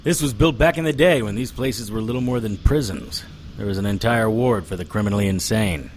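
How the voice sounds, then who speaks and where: Man playing a gruff, hard-bitten private investigator. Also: fairly low pitch, brisk, slight background noise, lightly acted. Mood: wry, businesslike. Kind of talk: audio drama acting with first-person narration.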